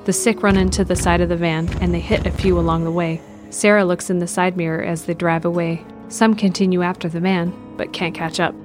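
A woman reading scripted narration for an audio drama in a measured, descriptive way. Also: fairly low pitch, flat delivery, over music.

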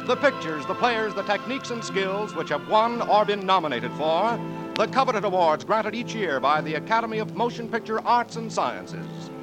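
High-pitched, grand 1940s radio announcer man. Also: big pitch swings, moderate pace, low-quality recording. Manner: grand, declamatory. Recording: vintage 1940s radio broadcast, music behind.

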